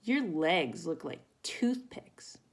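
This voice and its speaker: A woman's voice: lowish voice, sing-song, slightly rough, heavily acted. A woman voicing a mean, scornful little schoolgirl bully in a storybook, drawing out her taunts. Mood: taunting, scornful. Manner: drawn-out, taunting.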